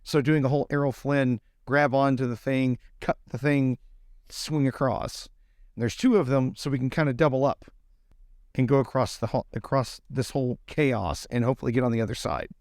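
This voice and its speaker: A man's voice: mid-range voice, steady tone, normal speed, darkish tone, dry recording, studio quality. A man playing a tabletop roleplaying game, talking casually and improvising as he goes.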